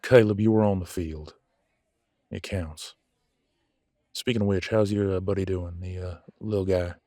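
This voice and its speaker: A man playing a proud, blunt werewolf in an audio drama, speaking haltingly as he grudgingly makes peace with a rival. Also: low-pitched, fairly even intonation, warm tone, no room sound, clean studio sound, close to an everyday voice. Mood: awkward, conciliatory. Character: gruff proud male werewolf, reluctantly making peace with rival. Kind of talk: scripted audio roleplay drama.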